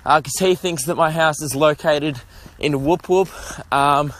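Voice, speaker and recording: A man's voice: a little high, a little slow, fairly bright tone. Breathless Australian man rambling on a walk. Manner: rambling, jokey, self-mocking. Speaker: podcast host. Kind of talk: casual walking vlog chat. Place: outdoors, walking along suburban roads near traffic.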